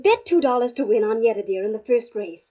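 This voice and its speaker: An actress playing a practical, persuasive fiancee in a 1950s radio drama. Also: female voice, on the high side, lively intonation, quick pace. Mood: coaxing, skeptical. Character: practical, coaxing fiancee of a stuffy academic. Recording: muffled, faintly noisy 1950s radio recording.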